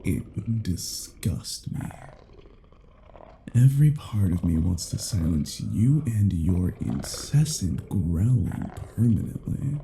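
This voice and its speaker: A man's voice: deep voice, big pitch swings, takes it slowly, gravelly, mellow, slight background noise, exaggerated voice, low-quality recording. A man playing a cruel, domineering vampire in a roleplay audio drama, speaking in a menacing, condescending manner with formal, archaic phrasing. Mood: menacing, disdainful. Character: cruel, domineering male vampire toying with captive werewolf.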